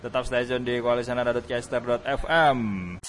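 A male radio host. He chats informally in loose slang, as in a relaxed music interview, at a middling pitch. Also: normal speed, slight background noise.

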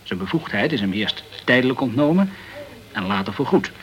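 Male actor as newspaperman, courteous and talkative, mid-pitched voice, radio drama. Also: sing-song, brisk, dull audio, close to an everyday voice. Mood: grave, informative. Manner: courteous and chatty, peppered with French words. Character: polite, talkative French journalist in the tropical West Indies. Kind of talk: Dutch radio play acting.

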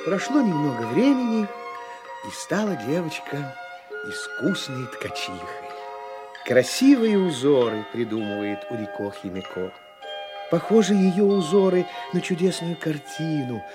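Slow, expressive storyteller. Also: male voice, fairly high pitch, over music. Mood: lyrical, admiring. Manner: lyrical, expressive. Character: lyrical, admiring fairy-tale storyteller. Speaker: narrator. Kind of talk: scripted fairy-tale narration.

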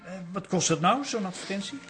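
A man's voice: sits high, lively intonation, fairly quick, music in the background. An actor playing a bored, frustrated male photographer in a radio comedy, grumbling and hesitant. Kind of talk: radio comedy drama acting.

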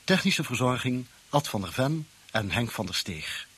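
A man announces scripted radio credits. His voice is mid-pitched with a husky grain, delivered as a formal reading. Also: big pitch swings, measured pace, fairly bright tone.

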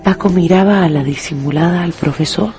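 A woman narrator reading a scripted radio story in an expressive, dramatic storytelling manner. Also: on the low side, a little fast. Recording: loud music and crowd effects behind.

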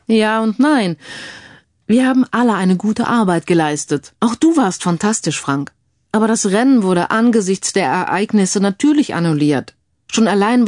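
A woman's voice: mid-range voice, a little mellow, dry recording, clean studio sound, lightly acted. A woman voicing a confident, no-nonsense female detective in an audio crime story.

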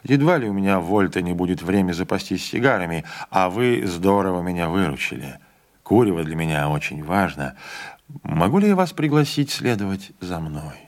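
A man voicing an older train conductor who speaks quietly, slowly and firmly with calm dignity. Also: deep voice. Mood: calm grave.

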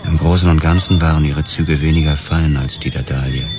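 An actor narrating a noir radio drama as a weary, observant police detective, cool and detached. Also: male voice, very deep voice, steady tone, fairly quick, noisy background. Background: music.

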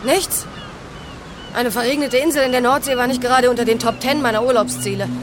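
An actress playing an indignant city woman new to an island in a radio drama, sharp, skeptical and demanding. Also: on the high side, steady tone, a little fast, over music, natural voice. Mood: skeptical, curt. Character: wary, indignant female city newcomer. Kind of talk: German radio drama acting.

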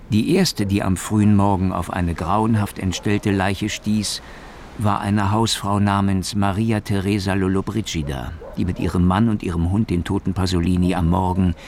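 A man's voice: low-pitched, a little hiss or hum. A man narrating a radio documentary drama in a sober, scripted manner. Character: sober male narrator recounting a poet's murder.

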